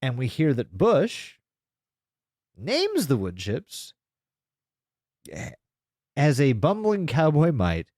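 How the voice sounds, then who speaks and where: A man's voice: on the high side, wildly swooping pitch, slow, pauses often, darkish tone, dry recording, clean studio sound. A man co-hosting a podcast, casually retelling a story in a rambling, sweary way. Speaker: podcast co-host.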